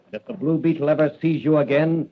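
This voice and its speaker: An actor playing a bold, wisecracking masked crime fighter in an old-time radio adventure serial, with a punchy, confident, declamatory delivery. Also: male voice, fairly high pitch, quick pace, lightly acted. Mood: defiant. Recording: tinny vintage radio recording.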